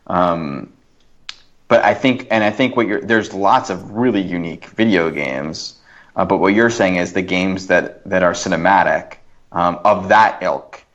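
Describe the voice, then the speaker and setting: Male podcast guest, very low voice, halting, self-revising argument in casual discussion, lo-fi sound. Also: a bit bright. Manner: halting, reworks sentences as he argues. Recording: dull, lo-fi voice recording.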